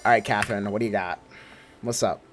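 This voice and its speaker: A man's voice: fairly low pitch, steady tone, fast talker, music in the background. A man giving casual let's play commentary as a gaming streamer, informal, slangy and enthusiastic.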